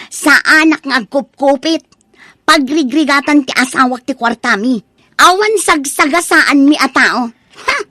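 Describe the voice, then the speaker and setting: A woman with an Ilocano accent acting a haughty, sharp-tongued married daughter in a comedic audio drama. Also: sits high, dry recording, clean studio sound, heavily acted. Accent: Filipino, Ilocano.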